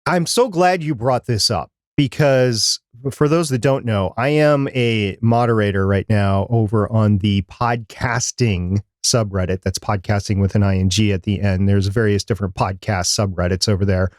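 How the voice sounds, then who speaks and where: A man's voice: on the low side, moderate pace, hardly any pauses, dry recording, studio quality. A man chatting casually as co-host of a live podcast.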